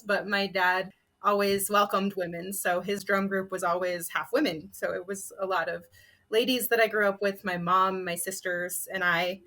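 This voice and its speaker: A woman's voice: medium pitch, sharp tone. A woman with a mid-range voice speaks as a panelist. She tells personal stories casually, in loose, conversational sentences. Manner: informal, conversational. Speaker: storyteller panelist.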